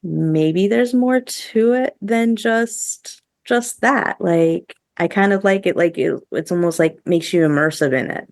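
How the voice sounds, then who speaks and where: A woman's voice: on the low side, unhurried, gravelly, few pauses, no room sound, studio quality. A woman podcast host chatting casually and giving her opinions, with a lot of "like" thrown in. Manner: chatty, heavy use of "like", opinionated. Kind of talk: casual review chat among co-hosts.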